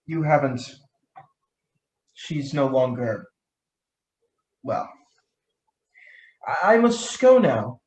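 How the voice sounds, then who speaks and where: A woman acting a drunken, trusting nobleman in a radio play, stammering and halting, with coughs and weak laughs breaking up the words. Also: a bass voice, big pitch swings, a little mellow, heavily acted. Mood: nervous false mirth. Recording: roomy, echoing sound.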